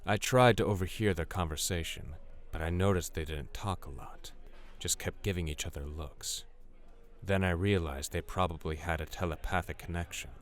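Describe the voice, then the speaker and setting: A man's voice: normal speed, natural voice. A man playing a wry, century-old male vampire who talks conversationally in an audio drama. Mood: wry, confiding. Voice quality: low-pitched.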